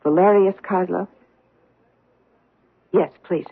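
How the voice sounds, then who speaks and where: A woman's voice: lowish voice, slow pace, creaky, husky, heavily acted. An actress playing a troubled wife in her forties who talks in a hesitant, guarded way, in a 1970s radio drama. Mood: nervous. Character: troubled middle-aged wife hiding a secret. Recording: tinny old radio broadcast.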